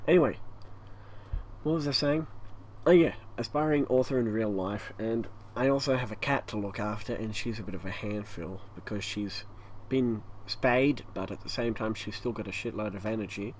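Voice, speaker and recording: A man with an Australian accent and a croaky, hoarse voice, rambling through a casual channel update at home, stopping often for breath. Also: on the low side, normal speed. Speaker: YouTube gaming channel host. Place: hot home room with a fan running. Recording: faint fan noise behind.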